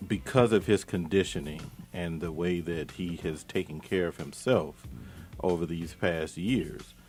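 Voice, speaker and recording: A deep male voice with soft music underneath, belonging to a doctor in conversation. He explains in a measured, matter-of-fact way, with small hesitations. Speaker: doctor, guest.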